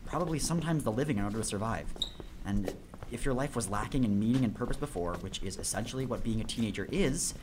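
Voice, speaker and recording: A man's voice: on the low side, lively intonation, fast talker, natural voice. Man playing earnest nerdy teenage boy, noisy recording. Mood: earnest, grandiose. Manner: grandiose, wordy, earnest. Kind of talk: audio drama acting.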